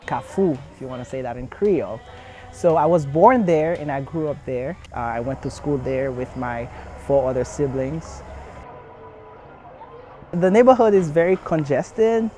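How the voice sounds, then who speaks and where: A man's voice: a little high, normal speed, mellow, slight background noise. A young man from Haiti speaking in an earnest documentary interview, with frequent fillers and restarts. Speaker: community center founder's son.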